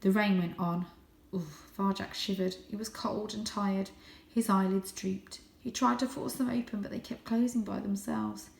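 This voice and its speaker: A woman reads a children's story aloud. Her pitch is average and her delivery is expressive and dramatic. The recording is roomy, with a noticeable echo. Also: moderate pace, gravelly. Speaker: storybook reader, teacher reading to children. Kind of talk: children's audiobook narration. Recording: roomy, echoing indoor sound.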